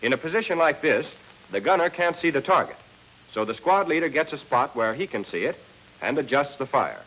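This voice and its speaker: An American man narrating a 1940s military training film, reading a scripted lesson and addressing trainees directly with clear instructions. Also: on the high side, lively intonation, a little fast, fairly bright tone, low-quality recording.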